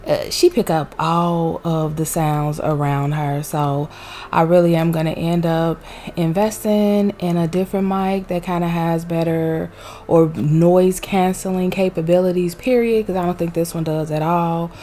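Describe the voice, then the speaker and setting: Young woman, peppy chatty vlog, low mellow voice, faint room hiss. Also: steady tone, unhurried. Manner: chatty, peppy, uses Southern US slang. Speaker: vlogger. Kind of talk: casual chatty voice-over. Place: home room with loud heater. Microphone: desk microphone.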